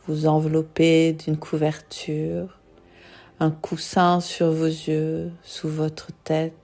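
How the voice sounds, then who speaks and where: Lady doing guided relaxation, lowish soothing voice, music playing behind her. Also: dark tone. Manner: soothing, unhurried, with long pauses. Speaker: yoga nidra guide. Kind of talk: guided meditation.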